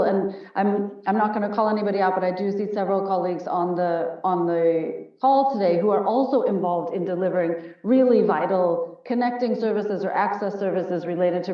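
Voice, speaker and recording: Woman hosting a panel over a dull call. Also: medium pitch. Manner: friendly, frequent ums, quick asides. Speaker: webinar host. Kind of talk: moderating a panel on a video call. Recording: roomy, distant, dull video-call audio.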